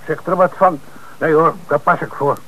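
A nervous car dealer witness. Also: male voice, fairly high pitch, steady tone, moderate pace, bright, forceful tone, poor audio. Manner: nervous, hesitant.

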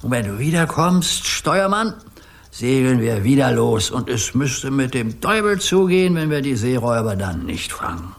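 A man's voice: medium pitch, very animated, moderate pace, gravelly. An older man acting a playful grandpa who plays sea captain in a children's radio play, commanding and grandly earnest. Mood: reassuring.

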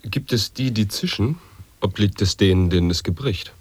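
A man acting a brooding speaker of absurd word puzzles in an avant-garde radio play, with deliberate, weighty delivery. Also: fairly low pitch, a little mellow, breathy edge, no room sound. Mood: deliberate, declamatory. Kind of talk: avant-garde radio play monologue of sound poetry and wordplay.

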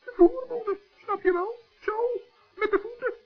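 A man voicing a small, earnest forest gnome in a 1960s children's radio play, squeaky, creaky and patiently hesitant. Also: moderate pace. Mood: sheepish. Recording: dull old radio recording with music.

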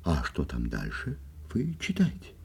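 A genial but wary forester in a storybook audio play. His voice is low, very raspy and gravelly, leaping widely as he marvels in drawn-out exclamations. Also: huge pitch swings, heavily acted. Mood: puzzled. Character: wary old-fashioned forester, genial and easily amazed. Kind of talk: children's audio play acting.

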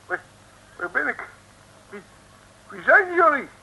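An actor in a 1950s radio play playing a bumbling detective who stammers and blusters with comic bravado. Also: male voice, very high-pitched, sing-song, slow pace, creaky, pushed and bright, heavily acted, rough recording. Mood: blustering. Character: boastful bumbling male detective.

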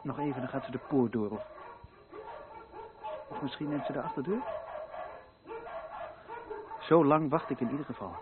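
A man's voice: mid-range voice, moderate pace, long pauses, natural voice. An actor playing a sceptical, down-to-earth man in a Dutch radio drama, plain-spoken and reflective. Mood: resigned, watchful. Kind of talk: Dutch radio drama acting, interior monologue. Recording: tinny band-limited old radio play recording.

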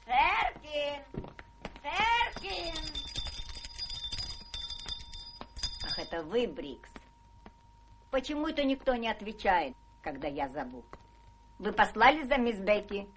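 An actress in a Russian radio play playing a rich, capricious, imperious old English spinster with a blunt theatrical manner. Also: female voice, a little high, very animated, measured pace, noisy background. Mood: imperious, irritable.

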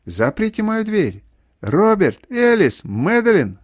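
Мужчина озвучивает перепуганную лежачую старую мать, которая хрипло и настойчиво зовёт на помощь в аудиорассказе. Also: male voice, high voice, expressive, a little fast, darkish tone, no room sound, studio quality, pitched above the performer's own voice. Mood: frantic calling. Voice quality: hoarse. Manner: wailing, repetitive calls of alarm. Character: panicked bedridden old mother crying for help.

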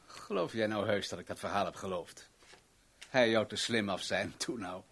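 A man's voice: fairly low pitch, normal speed, a bit bright, slightly rough. An actor playing a menacing, domineering rich businessman in a radio play, sneering and taunting as he gives orders. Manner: commanding, taunting, theatrical. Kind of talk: 1970s Dutch radio play acting. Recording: noisy old radio drama recording.

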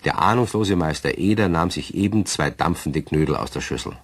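A man narrating a radio play as a knowing, genial storyteller who speaks confidingly to the listener in a husky voice. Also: deep voice, lively intonation, brisk, no echo, heavily acted.

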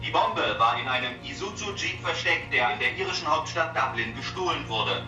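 A man's voice: fairly high pitch, lively intonation, brisk. An actor playing a formal, matter-of-fact male newsreader heard from a television set in a radio drama. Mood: formal, grave. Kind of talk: news reading within radio drama. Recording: distant, echoey television sound, music behind.

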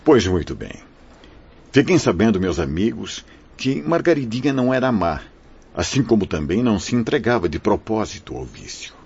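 A man's voice: lowish voice, big pitch swings, moderate pace, vocal fry, darkish tone, slightly rough, full character voice. A man playing a wise, kindly priest and spirit mentor, narrating solemnly and theatrically in a radio drama. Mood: grave, compassionate. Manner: solemn, preachy, theatrical phrasing. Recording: dull, muffled radio-play recording.

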